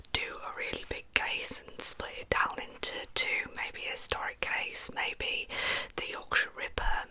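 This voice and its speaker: A British woman telling true crime stories for ASMR in a breathy, unvoiced whisper, with an informal, chatty manner. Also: pushed and bright, poor audio.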